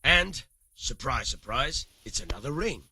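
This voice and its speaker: An actor playing a cynical, rough-tongued medieval commoner with a husky voice and colloquial, emphatic delivery in a video game. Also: male voice, medium pitch, big pitch swings, measured pace, pushed and bright, a little hiss or hum, exaggerated voice. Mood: wry, conspiratorial. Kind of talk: video game dialogue acting.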